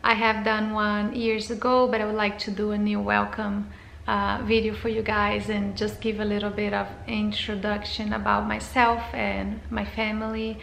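A woman's voice: medium pitch, level tone, normal speed, vocal fry, fairly bright tone, roomy sound, slight background noise. A woman in her thirties from Brazil, speaking English with a Brazilian Portuguese accent in a hesitant, casual intro to camera, with fillers and restarts. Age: 30s. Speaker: vlogger.

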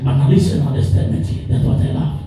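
A pastor delivers a sermon in a rolling, repetitive cadence, stacking promise upon promise as his voice climbs. He is heard from some distance in a very reverberant hall, with music playing clearly behind him. His voice sits somewhat high for a man. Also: quick pace. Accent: African English. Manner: preaching with rising declarations, repeated phrases. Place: church hall. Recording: echoey hall from far off with music.

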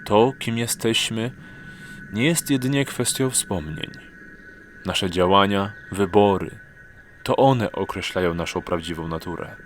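A man voicing a wise, gentle exarch in a fantasy audio drama, speaking in a grave, warm, measured way. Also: fairly low pitch, slight background noise, close to an everyday voice.

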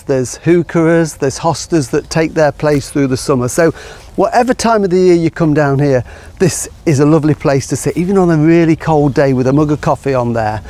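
Middle-aged gardener outdoors. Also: male voice, fairly high pitch. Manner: relaxed, enthusiastic explaining. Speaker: gardener and show host. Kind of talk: guided garden tour to camera.

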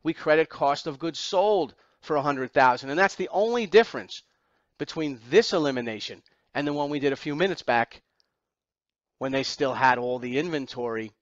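Higher-voiced male instructor, dull lo-fi recording. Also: lively intonation, moderate pace, dry recording. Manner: didactic, emphatic repetition with rhetorical questions. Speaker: accounting exam instructor. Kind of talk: lecture walking through slides.

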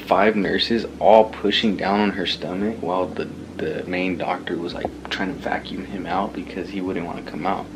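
A man's voice: low-pitched, fairly even intonation, normal speed, few pauses. A man in his twenties chatting casually to camera as a vlogger, blunt and slangy, with some swearing. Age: 20s. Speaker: vlogger and new father. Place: small hospital room. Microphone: handheld vlog camera at arm's length. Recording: clearly noisy room ambience.

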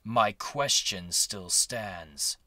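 A man's voice: fairly low pitch, drawn-out words, sharp tone, exaggerated voice. A man playing an aloof, sardonic cartoon hedgehog rival, curt and dismissive, in a fan audio drama.

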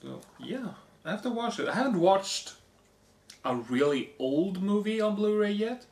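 Hoarse young man in an echoey room. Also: high-pitched, expressive, unhurried, darkish tone. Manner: reads off lists, offhand comments. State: hoarse, losing his voice. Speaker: vlogger. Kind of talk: casual unboxing chat. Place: living room couch, indoors.

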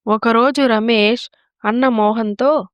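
A woman's voice: fairly high pitch, steady tone, slow pace, clear, resonant tone, dry recording, lo-fi sound. A woman narrator telling a scripted moral story.

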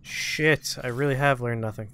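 A man's voice: mid-range voice, normal speed, pushed and bright. A man doing casual let's play commentary as host, muttering to himself with self-deprecating swearing. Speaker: gameplay show host. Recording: clear background game noise. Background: video game sound effects.

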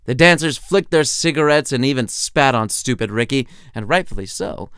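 A man's voice: mid-range voice, lively intonation, moderate pace, fairly bright tone, smooth, no room sound, studio quality. A man reading his own comedic short story in a wry, theatrical narrating style.

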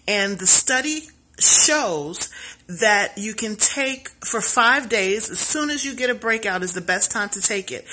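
A woman's voice: medium pitch, bright, forceful tone, husky, rough recording. A woman in her thirties hosting a health show in a casual selfie video, chatty and self-deprecating, spelling out terms letter by letter. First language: English. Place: small indoor room at home. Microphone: phone camera at arm's length.

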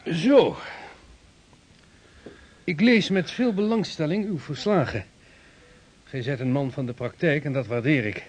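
An actor playing a weighty, deliberate statesman in a Dutch radio drama, formal and emphatic in his old-world rhetoric. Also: on the high side, darkish tone, slightly rough. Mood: grave, emphatic.

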